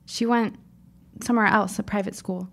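Woman acting a creaky-voiced, earnest teenage girl. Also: medium pitch, fairly even intonation, normal speed, a bit bright, lightly acted. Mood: shaken, grave. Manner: formal, wordy, dramatic phrasing. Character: precocious, earnest fifteen-year-old girl writer, shaken but insistent. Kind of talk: audio drama acting.

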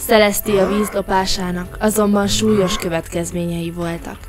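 A woman narrator reading a scripted fairy tale for an animated story. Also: mid-range voice, faint music behind.

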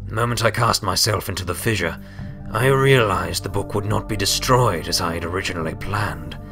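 A man's voice: low voice, fairly quick. A man reading a scripted story as an audiobook narrator. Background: music.

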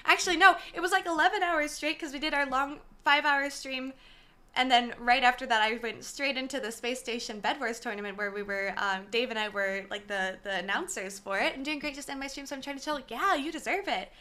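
Young girl streamer w a high voice, kinda hoarse from talking all day, chatty, mic far away on a desk. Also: brisk, sharp tone. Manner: chatty, apologetic, greets viewers by name. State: slightly hoarse, voice worn from talking. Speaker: gaming streamer. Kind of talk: casual live-stream chat. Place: small room at home. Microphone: desk mic set far from her, gain turned up.

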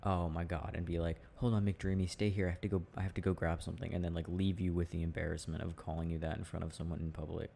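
A relaxed, teasing boyfriend played by a man in an intimate audio roleplay. His voice sits low with a gravelly fry, and he muses haltingly and casually. Some ambient noise sits behind him. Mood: playful teasing. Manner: halting, musing, chuckling delivery. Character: teasing, easygoing boyfriend chatting with his partner.

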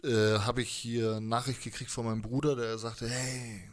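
A man's voice: medium pitch, level tone, normal speed. A man, around his thirties, chatting casually as an interviewed musician, rambling and jokey in slangy speech into a handheld microphone. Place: living room music space, indoors.

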